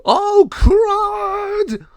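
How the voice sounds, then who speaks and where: A man voicing a goofy, cowardly male gargoyle henchman, very high, loud and squawky. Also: wildly swooping pitch, slow, no echo, studio quality, exaggerated voice. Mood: excitable.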